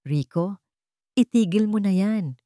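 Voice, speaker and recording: A woman's voice: mid-range voice, a little slow, dark tone, dry recording, studio quality, pitched above the performer's own voice, natural voice. Woman voicing an innocent, pleading young wife. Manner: trembling, pleading. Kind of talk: dramatic Tagalog storytelling.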